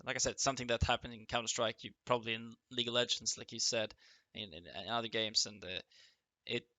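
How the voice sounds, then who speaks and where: Bright-voiced man hosting, slightly hoarse. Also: medium pitch, fairly even intonation, quick pace, dry recording. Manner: friendly, rambling, lots of restarts and fillers. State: losing his voice, clearing throat. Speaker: interview host. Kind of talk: casual interview over voice chat.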